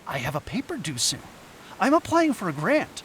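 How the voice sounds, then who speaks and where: An actor playing a reluctant, troubled anthropologist in an audio drama, measured and hesitant, with earnest, evasive delivery. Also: male voice, high-pitched, wildly swooping pitch, fairly bright tone, a little hiss or hum, heavily acted. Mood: hesitant, guarded.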